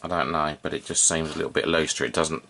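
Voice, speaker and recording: A man giving an unscripted, informal hands-on demonstration, thinking aloud in a halting way as he works as a hobbyist repairer. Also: a bass voice, fairly even intonation, sharp tone. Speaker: vintage radio repair hobbyist and video presenter.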